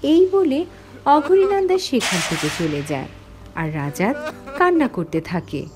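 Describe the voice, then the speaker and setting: Woman voicing a young female ghost, high lively voice, sorrowful and vengeful, dramatic horror cartoon narration, music behind. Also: measured pace. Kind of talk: dramatic first-person horror story narration. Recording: background music under the voice.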